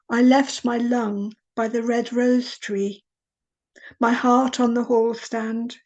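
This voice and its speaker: A woman reading poetry aloud, deliberately, phrase by phrase. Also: on the high side, a little mellow. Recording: dull, lo-fi sound.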